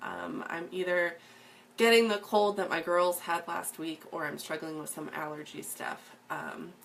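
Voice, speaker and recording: Woman, thirties, slightly low voice, a bit froggy and hoarse, casual personal story, lots of ums, faint background hum. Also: fairly even intonation, unhurried, a bit bright. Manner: frequent ums, earnest and open.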